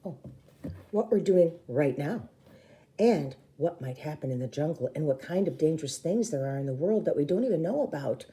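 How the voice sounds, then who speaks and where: A woman reading a children's book aloud in an expressive, theatrical way, acting out the dialogue. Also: sits low, normal speed. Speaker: storybook reader.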